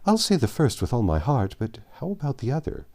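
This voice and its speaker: A man voicing an earnest, brotherly young gentleman who disapproves protectively, in a storybook reading. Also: medium pitch, very animated, fairly quick, pitched above the performer's own voice. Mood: doubtful.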